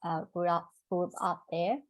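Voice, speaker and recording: Woman from Taiwan, slow speech, hesitant, muffled video call audio, English learner. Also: fairly low pitch, flat delivery, no room sound. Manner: hesitant, with many fillers and restarts. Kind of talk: answering interview questions.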